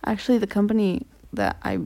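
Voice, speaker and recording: A young woman podcast host whose voice swoops around with a slight gravelly creak. She is chatty and teasing and keeps the casual interview light with frequent giggles. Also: medium pitch, big pitch swings, fairly quick, warm tone. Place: indoors at a family home.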